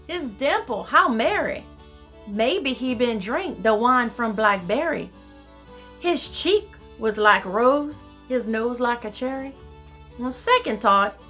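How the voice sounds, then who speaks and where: A woman voicing a folksy, excitable Cajun storyteller, reading rhymed storybook verse theatrically in a singsong dialect. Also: high voice, voice pushed up, full character voice.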